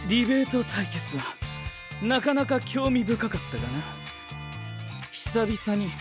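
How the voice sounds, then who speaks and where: Casting: dignified intellectual young gentleman, formal, composed, anime audio drama with music bed. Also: extremely high pitch, expressive, unhurried. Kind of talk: Japanese anime-style voice drama acting.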